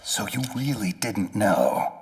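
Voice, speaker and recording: Gravelly man, hardened cynical soldier, terse, sardonic, expressive swings, game drama. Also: fairly low pitch, takes it slowly, recorded from a distance, exaggerated voice. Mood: scornful, demanding. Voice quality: gravelly, breathy undertone. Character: gruff, cynical, hardened male infiltration soldier. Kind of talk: dramatic video game acting.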